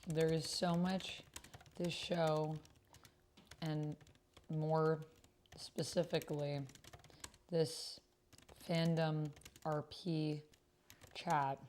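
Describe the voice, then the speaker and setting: A woman streamer chatting casually and reading typed roleplay aloud, sometimes halting as she types along. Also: low voice, darkish tone, a little hiss or hum. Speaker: streamer playing an online roleplaying game.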